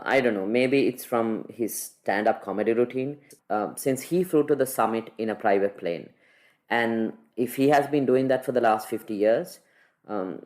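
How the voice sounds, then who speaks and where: A podcast host steers a news roundup with a wry, questioning touch. The voice sits in a middle pitch, and the speech pauses often on "uh" and "um" between points. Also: darkish tone. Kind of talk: conversational news analysis.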